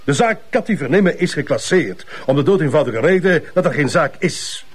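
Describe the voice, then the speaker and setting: Irate police boss: raised, husky voice, swooping pitch, threatening. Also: male voice, expressive, a little fast. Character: irate, threatening, bossy male police chief. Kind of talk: naturalistic TV crime drama acting.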